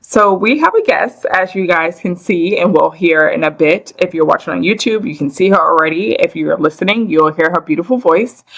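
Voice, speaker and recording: A young woman co-hosts a casual podcast. Her lowish voice has a gravelly fry to it. She praises her guest in an upbeat, gushing way. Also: fairly bright tone. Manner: upbeat, effusive, enthusiastic introductions. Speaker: podcast co-host.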